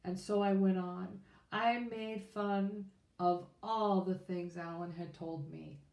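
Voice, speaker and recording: A woman reading a children's storybook aloud in an expressive, informal way. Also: on the low side, slow, creaky, muffled recording. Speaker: children's book reader. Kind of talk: read-aloud storybook narration. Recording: echoey room.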